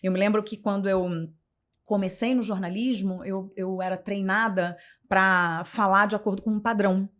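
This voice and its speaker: Carioca woman in her forties, journalist guest on an interview show, expressive and chatty, often restarting phrases and adding tag questions. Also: mid-range voice, normal speed. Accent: Carioca, Rio de Janeiro. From Rio de Janeiro, Brazil. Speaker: guest, journalist and communication mentor. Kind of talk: casual interview conversation.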